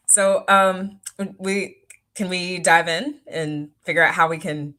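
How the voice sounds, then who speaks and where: A woman hosting a developer show, with a fairly low voice for a woman. She asks brief, friendly questions to move the interview along. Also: steady tone, takes it slowly, fairly bright tone. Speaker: show host.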